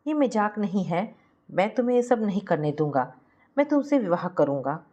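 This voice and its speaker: A woman's voice: mid-range voice, expressive, normal speed, dark tone, natural voice. A woman voicing a kind, principled man who talks in an earnest, moralizing way in a family story. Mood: resolute. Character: kind, principled man advising his friend.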